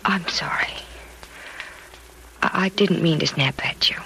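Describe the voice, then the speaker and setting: An actress playing a grieving, bitter young hospital patient in an old radio drama, listless and clipped, on a vintage recording. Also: female voice, fairly low pitch, unhurried, vocal fry, pushed and bright, a little hiss or hum, exaggerated voice, rough recording. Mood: bitter, withdrawn. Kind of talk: 1940s radio drama acting.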